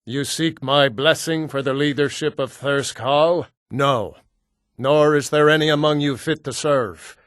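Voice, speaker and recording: An actor playing a proud, solemn Nord hunter and warrior in a video game, speaking in a measured, formal way. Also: male voice, fairly high pitch, a bit bright, no echo, studio quality. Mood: stern, reproachful. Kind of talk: scripted video game character dialogue.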